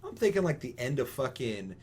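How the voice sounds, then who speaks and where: A man's voice: medium pitch, very animated, fairly quick, darkish tone, breathy edge. A man co-hosting a casual gaming talk show, ranting with strong opinions in an emphatic, rambling, swear-heavy way. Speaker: podcast co-host.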